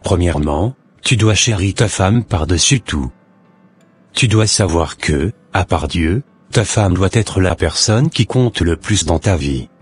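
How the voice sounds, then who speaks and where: A wise, moralizing neighbor who lectures earnestly on marriage. His voice is very deep and husky, with soft music in the background. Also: animated, measured pace, exaggerated voice. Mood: counseling. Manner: earnest, preachy, counseling.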